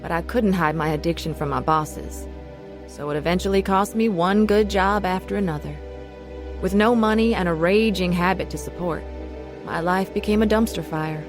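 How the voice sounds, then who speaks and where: A woman's voice: on the low side, normal speed, over music, close to an everyday voice. An actress playing a troubled, addicted wife and mother, narrating her past confessionally in a radio drama. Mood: regretful, confessional. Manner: confessional, scripted storytelling.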